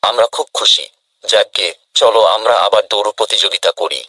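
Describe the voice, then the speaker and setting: A man's voice: lowish voice, a little fast, sharp tone, husky, dry recording. A man voicing a grumbling sore-loser rabbit in a children's cartoon, complaining and pleading.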